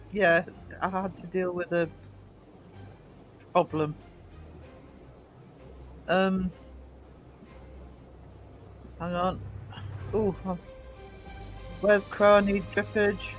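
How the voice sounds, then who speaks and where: A woman's voice: mid-range voice, steady tone, very slow, pauses often, faint music behind, rough recording. A woman hosting a live stream at a desk mic, chatty and full of fillers, coughing from hay fever with her voice going. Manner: chatty, greets chat by name, lots of fillers and restarts. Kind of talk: casual live stream chat. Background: quiet self-made tune playing.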